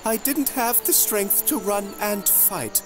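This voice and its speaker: A woman's voice: medium pitch, slow, music in the background, natural voice. A woman playing a weak, worn-out food gatherer in an audio drama. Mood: weary, discouraged.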